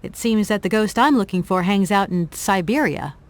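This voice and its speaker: A woman's voice: mid-range voice, animated, normal speed, close to an everyday voice. An actress voicing a polite, bemused young professional woman in an adventure game, speaking in a thoughtful, conversational way. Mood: wry, curious.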